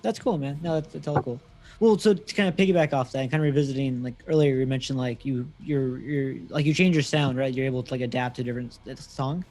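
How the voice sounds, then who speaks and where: A man hosting a casual interview, relaxed and loosely phrased, often giving short affirmations. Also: mid-range voice, fast, a little hiss or hum. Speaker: podcast host, interviewer.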